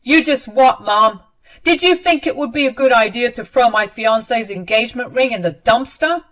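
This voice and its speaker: A woman's voice: on the high side, normal speed, fairly bright tone, pitched above the performer's own voice, lightly acted. Woman acting out a stern, angry grown son. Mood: angry, accusing. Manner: hard, commanding. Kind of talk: dramatized storytelling.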